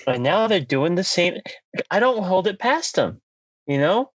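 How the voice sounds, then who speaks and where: A man's voice: high voice, big pitch swings, measured pace, no echo, dull audio. Casual man co-hosting a podcast, dry sound. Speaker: podcast co-host.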